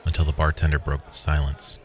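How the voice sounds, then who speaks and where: A man's voice: a bass voice, monotone, fairly quick, crowd noise. A man playing a weary, wry programmer who narrates his own story as a scripted first-person audio drama. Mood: wry, reflective.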